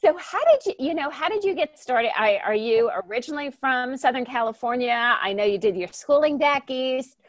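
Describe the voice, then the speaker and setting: A woman's voice: sits high, animated, normal speed, hardly any pauses, no echo, studio quality. A woman hosting a podcast, introducing her guest in a chatty way and correcting herself as she goes. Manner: chatty, self-correcting, stumbles over names. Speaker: podcast host.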